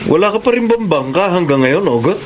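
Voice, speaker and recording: A man's voice: sits high, expressive, brisk. An actor playing a generous, easygoing Filipino village fisherman and husband in a radio drama, kindly and reassuring. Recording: noisy ambience behind radio drama dialogue.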